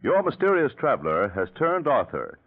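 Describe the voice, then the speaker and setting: A man's voice: mid-range voice, huge pitch swings, moderate pace. Swooping, formal vintage radio announcer man. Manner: formal, emphatic, polished. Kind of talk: 1940s radio announcing, scripted. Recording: tinny vintage radio with faint music.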